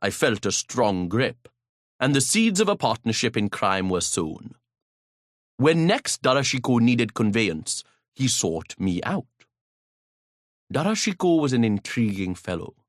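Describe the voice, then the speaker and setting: A man reads an audiobook in the first person with a pompous, grandiloquent air. His voice sits at an ordinary pitch but swings freely up and down, and now and then he trips into a stutter. The recording is close and dry. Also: big pitch swings, unhurried, no room sound. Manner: occasional stutter. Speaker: audiobook narrator.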